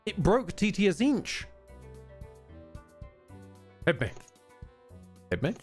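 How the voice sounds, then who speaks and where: Male game streamer, expressive range, casual and sweary commentary, wry grumbler who interrupts himself, faint music bed. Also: sits high, slow. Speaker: live streamer.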